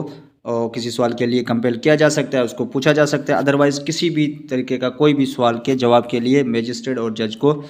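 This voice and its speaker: A man with a Pakistani accent lecturing on law in an explanatory way, repeating and rephrasing his points. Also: medium pitch. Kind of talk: explanatory online lecture with read-out passages.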